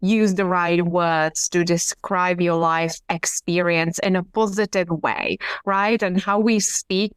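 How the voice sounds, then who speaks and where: Woman, smooth clear voice, slow pace, dry close sound. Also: lowish voice, steady tone, no room sound. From Czech Republic. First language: Czech. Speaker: podcast guest, hypnotherapist. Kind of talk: conversational storytelling.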